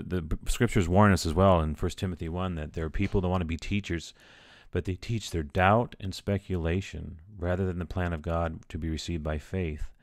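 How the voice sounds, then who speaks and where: A low-pitched priest delivers a teaching, reading passages aloud before putting them in his own words. His deep voice sits close to the mic in a dry, dead room. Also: no echo. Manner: reads passages then explains in plain words, with fillers.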